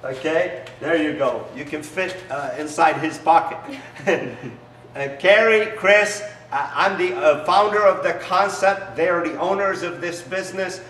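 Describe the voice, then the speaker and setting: A man's voice: unhurried, fairly bright tone, a little hiss or hum. A middle-aged man, not a native English speaker, giving an enthusiastic promotional demonstration as a business founder in a large garage. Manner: enthusiastic salesy pitch with broken phrasing. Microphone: camera mic from a few steps away. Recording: echoey garage, distant mic.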